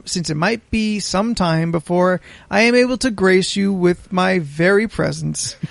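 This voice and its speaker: A man reading a letter aloud as an indignant, pompous gentleman, theatrical and mock-offended. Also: high voice, creaky, no room sound, heavily acted.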